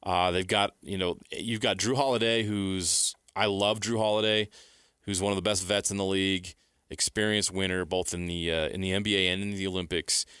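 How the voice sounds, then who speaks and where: Man, low forceful voice, sports radio cohost, casual hot takes, very dry sound. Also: steady tone, normal speed, smooth, no room sound. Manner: opinionated, declarative. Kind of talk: casual sports talk and debate.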